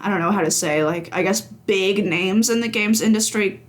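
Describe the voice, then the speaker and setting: A young woman talks casually as a podcast guest. Her voice sits at a middle pitch. She is informal and hedges as she works out her thoughts aloud. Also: normal speed. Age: young adult. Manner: informal, hedging, thinking aloud. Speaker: podcast guest, games journalist. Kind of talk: casual interview chat.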